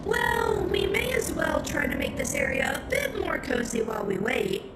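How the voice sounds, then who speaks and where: Cartoon animatronic hen, actress: very high, lively, motherly and affectionate. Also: normal speed. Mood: cheerful. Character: sweet, motherly animatronic chicken lady. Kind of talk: fan comic dub acting. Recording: echoey, with music behind.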